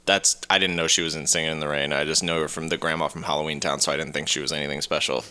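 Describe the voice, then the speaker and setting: A man's voice: rumbling low, level tone, very fast, pushed and bright, clean tone. A man co-hosting a podcast with casual banter and a blunt, irreverent manner. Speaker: podcast co-host.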